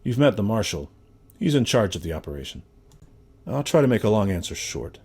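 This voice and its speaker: A steady space lawman for a video game. His voice sits fairly low with a rough, husky edge. He talks plainly and matter-of-factly, with a dry sense of humor. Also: fairly quick, exaggerated voice. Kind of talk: video game dialogue acting.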